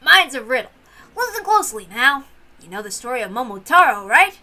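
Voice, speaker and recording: A woman's voice: high-pitched, big pitch swings, a little fast, bright, forceful tone, exaggerated voice. A woman voicing a jovial, teasing middle-aged uncle who tells riddles in a visual novel read-aloud. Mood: playful.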